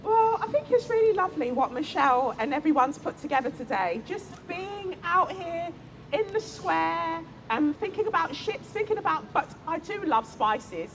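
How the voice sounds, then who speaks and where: A woman's voice: extremely high pitch, big pitch swings, normal speed, pitched above the performer's own voice, full character voice, rough recording. A woman voicing a well-meaning but clumsy HR manager who keeps excusing herself, chirpy and giggly, recorded outdoors. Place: outdoor festival stage. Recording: outdoor recording with crowd noise.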